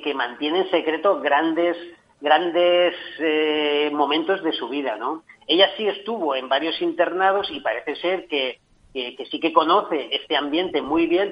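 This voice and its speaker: A man's voice: on the high side, lo-fi sound. Enthusiastic man reviewing a book. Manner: enthusiastic, frequent restarts. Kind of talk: casual radio book chat.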